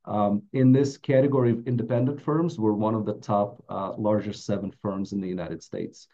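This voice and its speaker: A middle-aged man with a mellow voice, giving a prepared webinar presentation as a financial advisor. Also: fairly low pitch, normal speed. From Latvia. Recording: dull, muffled webinar audio.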